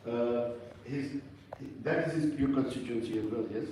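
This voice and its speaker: A man's voice: medium pitch, normal speed, dark tone. A man from India giving a formal introduction speech at an event, with chatty, self-deprecating joking asides. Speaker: event speaker introducing the host. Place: large hall with audience. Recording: echoey hall, distant mic, faint noise.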